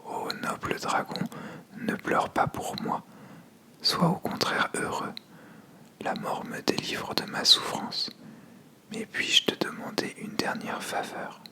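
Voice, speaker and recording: In a storybook, the little trusting songbird speaking slowly and softly to his dragon, all whisper acted by a storyteller. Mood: dying, sorrowful. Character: small gentle trusting male songbird, noble-hearted.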